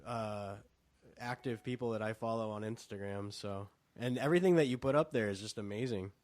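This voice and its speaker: A man's voice: fairly low pitch, fairly quick, darkish tone. Friendly man co-hosting a podcast. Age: 30s. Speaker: podcast co-host. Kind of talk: casual interview chat.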